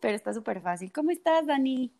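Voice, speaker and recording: A woman's voice: sits high, expressive, fast talker, gravelly, warm tone. A woman in her twenties from El Salvador, a psychologist guest, explaining things in a friendly, casual way with fillers. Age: 20s. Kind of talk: casual podcast conversation.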